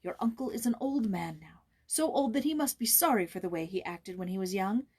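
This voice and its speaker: A woman voicing a spirited, motherly girl in a storybook, earnest and emphatic, quick to indignation on a friend's behalf. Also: medium pitch, expressive, a little mellow, husky. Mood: indignant, bold.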